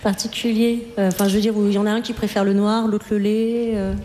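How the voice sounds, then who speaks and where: A woman's voice: mid-range voice, little pitch movement, fairly quick, big-room sound, a crowd in the background. A woman interviewing guests in a casual, chatty way, prompting them with questions.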